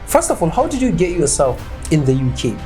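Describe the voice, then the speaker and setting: A man hosting an interview who asks probing questions and restates them to make things clear. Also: on the high side, huge pitch swings, fairly quick, music in the background. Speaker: interview host.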